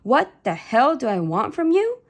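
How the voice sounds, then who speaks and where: A woman's voice: high-pitched, big pitch swings, moderate pace, voice pushed up, heavily acted. A woman voicing an angry middle-aged immigrant father, bitter and domineering, in a read-aloud novel. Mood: enraged.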